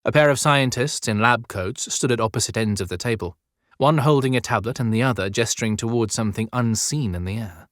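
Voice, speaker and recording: A man's voice: medium pitch, brisk, a bit bright, no echo, clean studio sound. A man narrating a scripted adventure story as an audiobook narrator.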